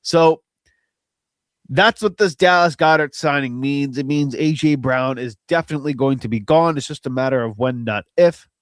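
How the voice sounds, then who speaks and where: A man's voice: mid-range voice, no room sound. A man hosting a podcast, talking through football opinions in a rambling, casual way, his voice nasal and stuffy from a cold. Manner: rambling, opinionated, self-deprecating. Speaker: podcast host. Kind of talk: casual solo sports commentary.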